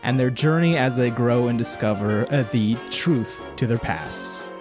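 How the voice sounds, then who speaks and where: A woman's voice: extremely low pitch, a little slow. A woman reading an informal podcast promo as one of the cast. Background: music.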